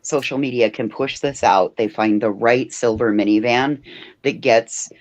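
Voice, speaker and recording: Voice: mid-range voice, a little slow, no room sound. A person chatting casually as a panelist on a true-crime livestream. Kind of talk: casual group livestream chat.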